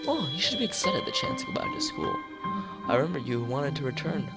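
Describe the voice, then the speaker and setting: Placating father read by a man, visual novel, gentle persuasion, creaky voice, music behind. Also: a little high, big pitch swings, a little fast.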